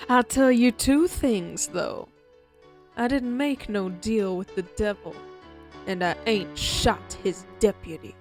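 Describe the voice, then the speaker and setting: A woman's voice: medium pitch, takes it slowly, long pauses. A female outlaw ringmaster from an Old West audio play, with a drawl. She takes her time over every word, theatrical and mock-polite, coolly menacing, with music underneath. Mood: amused, probing.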